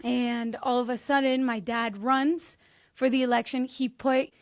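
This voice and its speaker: A woman's voice: a little high, flat delivery, measured pace, no room sound. A woman in her twenties from Peru, telling her story as a podcast guest in a bubbly, laughing way. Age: 20s. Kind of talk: casual interview chat.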